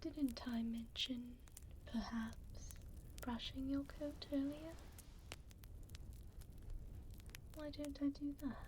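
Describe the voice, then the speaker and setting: A woman's voice: sits high, little pitch movement, slow, darkish tone, a little hiss or hum. A woman playing a regal, tender vampire duchess doting on a pup, whispering breathily into a close binaural mic in formal, old-fashioned phrasing for an ASMR roleplay. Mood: gently teasing. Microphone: close binaural microphone.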